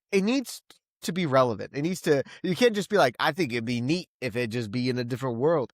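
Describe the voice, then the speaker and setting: A man with a mid-pitched voice talks casually as a review show host. He thinks out loud, often stopping to rephrase. The sound is dry, with very little room in it. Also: dry recording. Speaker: podcast host reviewing books. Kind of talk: casual discussion.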